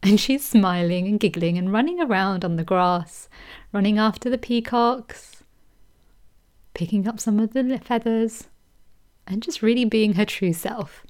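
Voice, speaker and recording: Brief: woman, meditation guide, mellow tone, gentle and slow, drifts into whispers. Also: medium pitch. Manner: slow soothing delivery with soft whispered phrases.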